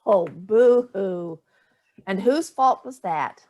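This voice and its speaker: Actress doing a snooty older mom in a play reading, breezy, evasive, kinda theatrical and barbed. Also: female voice, mid-range voice, lively intonation, slow pace, dark tone. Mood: scornful.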